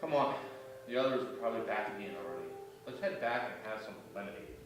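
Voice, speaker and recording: An actor playing an excitable, affectionate middle-aged father in a theatrical staged play reading. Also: lowish voice, fast talker, over music, lightly acted. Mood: warm, reassuring. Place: indoor stage or hall, distant microphone. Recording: echoey hall from far off, faint noise.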